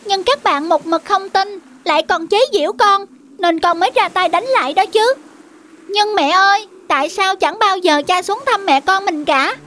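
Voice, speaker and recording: A woman's voice: very high voice, normal speed, a bit bright, a little hiss or hum. A woman voicing a stubborn, eager little boy in a myth cartoon, childlike and insistent. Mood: sulky, insistent. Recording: music behind.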